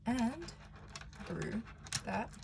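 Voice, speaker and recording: A young woman recovering from a cold, calmly walking viewers through a beading craft step by step. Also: on the high side, drawn-out words, creaky, very noisy. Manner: calm, patient instructions, counting out beads. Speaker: craft tutorial maker.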